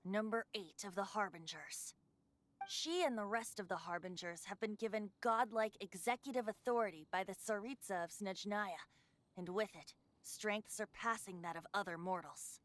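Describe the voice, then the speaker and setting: A woman's voice: mid-range voice, moderate pace, natural voice. A woman voicing a carefree ancient wind god in the form of a youthful male bard, speaking in an airy, wistful storyteller manner for a video game. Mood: wistful, explanatory. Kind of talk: scripted video game voice acting.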